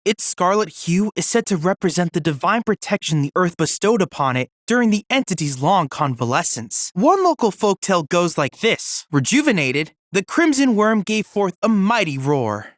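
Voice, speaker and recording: Polite, lively-pitched boy android assistant. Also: dry recording, studio quality. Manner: formal, precise, courteous. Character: polite, eager, scholarly teenage boy android research assistant. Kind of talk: scripted video game character dialogue.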